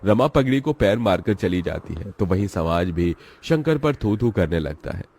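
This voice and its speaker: Deep-voiced male story narrator. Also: normal speed, creaky, slightly rough. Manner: steady, dramatic storytelling.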